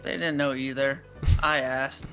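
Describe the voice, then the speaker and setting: A man voicing a rambling, spaced-out young woman from a visual novel in a meandering deadpan manner. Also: mid-range voice, unhurried, creaky, soft background music. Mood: aimless deadpan.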